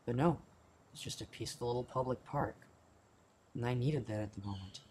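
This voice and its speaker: A man's voice: mid-range voice, brisk, a little mellow, a little hiss or hum, lightly acted. A man playing a hot-headed, bitter teenage boy in an amateur radio play, with an informal, grumbling manner. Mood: rueful, bitter. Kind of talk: amateur radio play acting, first-person narration.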